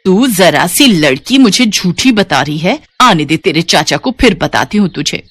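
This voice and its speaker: A mean, deceitful village aunt from a moral story, put on by a woman. She is scornful and threatening, her pitch swinging in a lively way. Faint music runs behind her. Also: a little slow, breathy edge, no echo, heavily acted. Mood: scolding.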